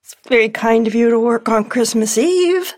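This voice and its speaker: A woman acting in an audio drama as a frail, fearful elderly widow, speaking in a hesitant, gentle, wistful way. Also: fairly high pitch, lively intonation, normal speed, natural voice.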